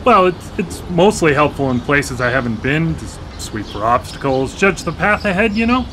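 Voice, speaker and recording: A man's voice: a little high, big pitch swings, moderate pace, noisy background. An actor playing a hapless, amiable blind man, a younger brother who talks hesitantly, in a contemporary radio drama. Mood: explaining. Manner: hesitant, stammering.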